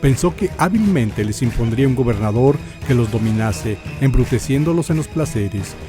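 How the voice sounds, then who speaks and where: A man's voice: medium pitch, animated, moderate pace. Solemn Mexican male narrator over music. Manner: solemn, theatrical, literary. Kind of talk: dramatic scripted historical narration.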